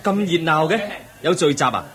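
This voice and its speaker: An actor playing a gentle, humble young prince who speaks softly and politely in a radio play. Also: male voice, on the high side, lively intonation, fairly quick, crowd noise, close to an everyday voice. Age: young adult. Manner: polite, soft-spoken, modest. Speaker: young noble wanderer.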